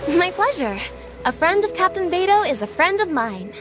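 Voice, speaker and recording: An actress playing a studious young female ship's navigator who explains things politely and gently, in a game's dialogue. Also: extremely high pitch, big pitch swings, moderate pace. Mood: earnest. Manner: soft, polite and explanatory, with light laughs. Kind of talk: game character dialogue. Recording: game audio with faint background music.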